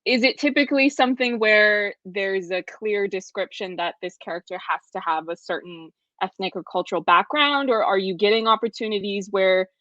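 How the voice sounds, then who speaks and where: A woman's voice: medium pitch, moderate pace, hardly any pauses, fairly bright tone, dry recording. Polite woman interviewer, dry lo-fi audio. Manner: polite, self-deprecating, curious. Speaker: interview host. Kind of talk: interviewer asking questions.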